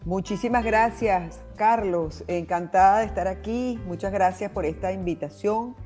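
A Venezuelan woman in her sixties speaks as a radio interview guest at a mid pitch. She explains in a thoughtful, searching manner, restarting phrases and adding asides as she goes. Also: normal speed, mellow, soft background music. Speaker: guest, biologist.

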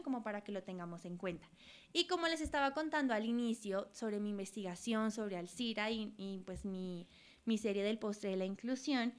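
A woman from Colombia co-hosting a radio program, explaining topics patiently with examples. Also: on the high side. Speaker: radio show co-host. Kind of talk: educational radio talk.

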